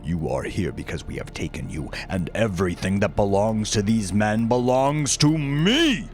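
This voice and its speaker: A man voicing a menacing, possessive gang leader in a dark audiobook, growling in a theatrical, domineering manner. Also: on the low side, sing-song, soft background music, voice pushed up. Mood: domineering, boastful. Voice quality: growling, gravelly. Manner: slow, domineering, declamatory. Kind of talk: dramatized audiobook villain dialogue.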